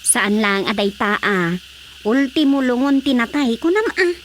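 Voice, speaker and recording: Brief: woman in a radio drama, a bit high-pitched, conversational, with faint hiss. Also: unhurried.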